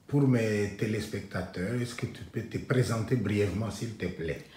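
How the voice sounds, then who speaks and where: A man hosting an interview, putting calm questions to his guest. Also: fairly low pitch, moderate pace, slight background noise. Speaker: interviewer, channel host. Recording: echoey room.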